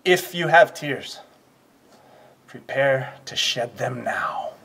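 A man performing an impassioned Roman statesman in a declamatory, theatrical Shakespearean monologue, with a husky voice. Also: mid-range voice, lively intonation, takes it slowly, heavily acted. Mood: grave, restrained. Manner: declamatory, swinging from whispers to shouts. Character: impassioned Roman statesman orating grief and war.

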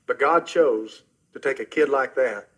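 A middle-aged man preaching a sermon as pastor, earnest and personal, often dropping soft and low at the ends of phrases. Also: unhurried. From Oklahoma, US.

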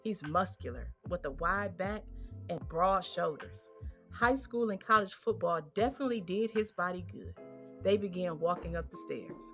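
A woman's voice: on the low side, animated, moderate pace, a bit bright. American woman narrating a story over music.

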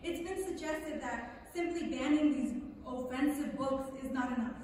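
A woman reads a speech on stage in a high voice. She is heard from far off, with long hall reverb and faint noise. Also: moderate pace, a little mellow. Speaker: reader at a lectern. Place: stage of a large theatre.

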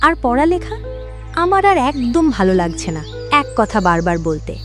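A woman's voice: on the high side, very animated, normal speed, fairly bright tone, soft background music. A woman voicing a stern, nagging village mother in a cartoon, with an exasperated manner. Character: stern, scolding village mother, adult, worried about studies. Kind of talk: cartoon dialogue acting.

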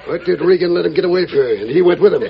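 A man's voice: high-pitched, very fast, creaky, a crowd in the background. A man playing a suspicious rancher turned rustler, terse, in a 1940s radio western. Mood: wary. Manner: terse cowboy dialect. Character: wary, suspicious rustler rancher, uneasy accomplice. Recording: muffled old radio broadcast.

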